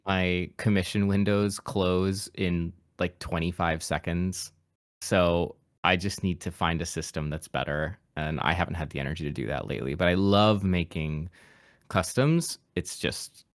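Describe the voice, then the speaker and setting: A man's voice: low voice, unhurried, dry recording, studio quality. A man with a mid-Atlantic American accent, a podcast guest and voice actor from Appalachia, talking casually and reflectively in an interview, with easy self-deprecating laughs. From Appalachian region of the United States.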